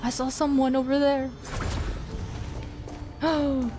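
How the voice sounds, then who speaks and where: A woman's voice: sits high, little pitch movement, slow. A woman streamer giving casual, playful live commentary on a game, reacting to what happens as she plays. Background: game music.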